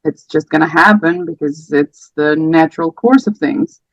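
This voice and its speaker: Casting: Portuguese-accented woman, late thirties, halting and gentle, telling her story; dry, dull lo-fi sound. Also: low-pitched, no room sound. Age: 30s. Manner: soft-spoken, hesitant, many fillers. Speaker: podcast guest. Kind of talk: personal story in conversation.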